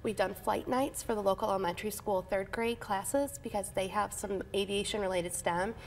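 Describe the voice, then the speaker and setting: A woman's voice: mid-range voice, fairly even intonation, normal speed, a little hiss or hum. Chatty woman airport manager, studio interview. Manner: enthusiastic, chatty, frequent fillers and restarts. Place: TV news studio. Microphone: lapel.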